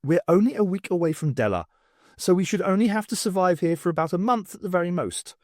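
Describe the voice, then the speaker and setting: Man playing a pompous, lively academic orator. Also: high voice, quick pace, no room sound, clean studio sound, voice pushed up. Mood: reassuring, self-satisfied. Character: self-assured male academic, pompous orator.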